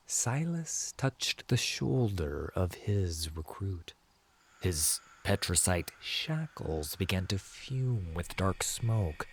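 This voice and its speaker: A man narrating a scripted fantasy story in a theatrical, measured and emphatic way. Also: low-pitched, a little hiss or hum. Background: music.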